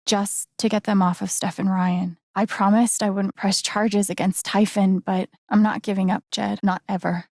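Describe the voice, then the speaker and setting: A woman's voice: mid-range voice, little pitch movement, normal speed, creaky, hardly any pauses, a little mellow, no echo, clean studio sound. A woman voicing an earnest young woman in a video game, heartfelt and hesitant, with raw emotion in a naturalistic dramatic style. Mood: grave, determined.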